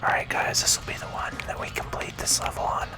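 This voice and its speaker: An ASMR gaming host whispering soothing, encouraging commentary to viewers in a breathy voice, close to the microphone. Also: fairly quick, over music.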